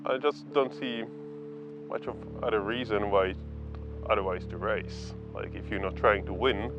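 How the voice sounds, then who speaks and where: A man's voice: on the low side, a little slow, lo-fi sound. A man from the Czech Republic with a Czech accent, a bike racer talking casually in a documentary interview. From Prague, Czech Republic. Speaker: competitive bikepacking racer. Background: faint music.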